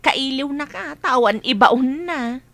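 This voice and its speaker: A woman's voice: sits high, takes it slowly, vocal fry, fairly bright tone, dry recording, exaggerated voice. A woman playing a considerate, chatty young friend in a radio drama. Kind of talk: Ilocano radio drama acting.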